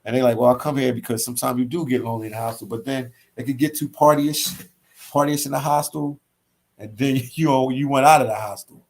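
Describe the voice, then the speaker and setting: A relaxed man with a Philadelphia accent talks casually to his livestream viewers from a hostel library. He reads out their comments and answers them, often repeating phrases and tacking "man" onto the end of his sentences. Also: mid-range voice, a little fast. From Philadelphia, US East Coast. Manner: laid-back, says "man" a lot, repeats phrases. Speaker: travel vlogger reading live chat.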